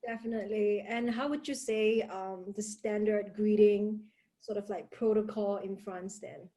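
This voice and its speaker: A woman's voice: mid-range voice, steady tone, unhurried, dark tone. A young woman from Singapore hosting a casual group chat on a video call, guiding it with questions. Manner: guides the talk with questions. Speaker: host.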